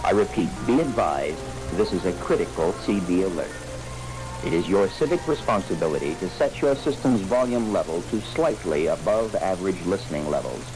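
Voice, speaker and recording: A man delivers a scripted alert announcement, reading formally in a solemn, measured bulletin manner. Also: sits low, expressive, music in the background, poor audio. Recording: voice recording with clear humming noise.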